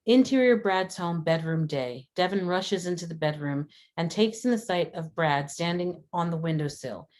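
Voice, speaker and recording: A woman reading scripted stage directions as a narrator, in a measured, descriptive manner. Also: fairly low pitch, animated, a little mellow.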